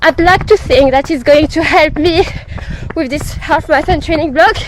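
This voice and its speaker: A woman's voice: sits high, moderate pace, slight background noise, low-quality recording. A woman with a blocked nose from a head cold, talking plainly and wearily while out on a run. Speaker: running vlogger. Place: outdoors on a run.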